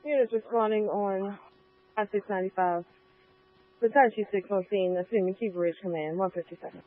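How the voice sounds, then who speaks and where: A woman's voice: medium pitch, brisk. Female dispatcher on tinny, narrowband radio. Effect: two-way radio. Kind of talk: clipped dispatch acknowledgements.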